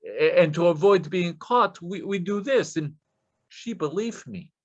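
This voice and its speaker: An actor playing a desperate, nervous Russian scammer with a Russian accent, stammering deferentially in broken English in a play reading. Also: male voice, high voice, lively intonation, unhurried, dull audio. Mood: eager, boastful.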